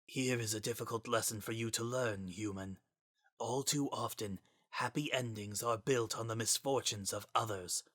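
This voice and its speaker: A stern spectral scientist voiced by a man with a bright, incisive tone. He lectures in grave, formal language, passing solemn judgment in a dramatized fantasy story. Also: on the low side, normal speed. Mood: stern, judgmental. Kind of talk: dramatic reading of fan fiction.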